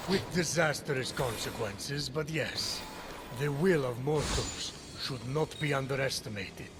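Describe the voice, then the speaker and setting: A man playing a wise, formal immortal who narrates Greek myths in a measured, grave manner for a video game. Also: medium pitch, background noise, close to an everyday voice. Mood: grave, storytelling. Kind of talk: scripted narration. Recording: music behind the voice.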